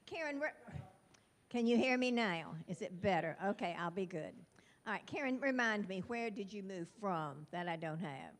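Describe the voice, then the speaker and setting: A woman's voice: medium pitch, animated, normal speed. An older woman introducing new residents at a meeting, laughing often and losing her place. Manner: warm, giggly, scattered, self-deprecating. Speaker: retiring neighborhood representative. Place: chapel hall.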